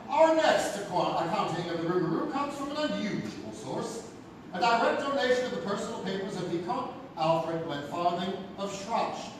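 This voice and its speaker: A man playing an archivist lecturer, narrating a dark legend theatrically to an audience. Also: sits high, lively intonation, normal speed. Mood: storytelling. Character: male archivist lecturer presenting werewolf legend evidence. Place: live stage in a theatre. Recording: echoey noisy theatre, far from mic.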